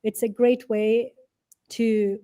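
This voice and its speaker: A woman's voice: on the high side, flat delivery, takes it slowly, mellow. A woman giving conversational interview answers as a guest, explaining informally and often posing rhetorical questions. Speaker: interview guest, real estate and angel investor.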